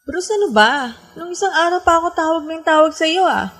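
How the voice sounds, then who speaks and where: A woman's voice: very high voice, animated, fairly quick. An actress with a Filipino accent playing a lovelorn young woman in a radio drama, over a music bed. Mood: hurt, jealous. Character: lovelorn young woman narrating her heartbreak, jealous girlfriend.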